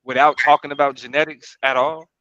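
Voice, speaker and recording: A man's voice: mid-range voice, little pitch movement, fairly quick, sharp tone, lo-fi sound. A man in a casual podcast debate, pressing with probing questions in slangy talk.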